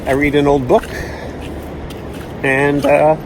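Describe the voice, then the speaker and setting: A man's voice: fairly high pitch, little pitch movement, takes it slowly, noisy background. A man hosting a children's storytime show, telling a playful adventure story in a theatrical manner. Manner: theatrical, acting out his own adventure.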